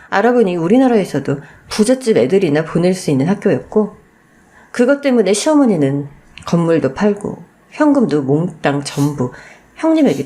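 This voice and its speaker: A woman's voice: lowish voice, dark tone. A woman reading a scripted first-person story as a dramatic radio narrator.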